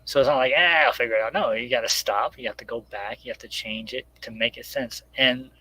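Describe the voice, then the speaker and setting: A man's voice: mid-range voice, a little fast, sharp tone, breathy edge, low-quality recording. A man speaking casually as an interviewed writer, explaining step by step and acting out imagined remarks as he goes. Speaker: guest comic book writer.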